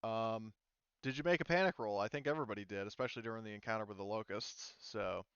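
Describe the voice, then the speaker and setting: A man's voice: fairly low pitch, quick pace, fairly bright tone, smooth, no room sound, lo-fi sound. A man working as game master, casually explaining tabletop game rules in an informal way.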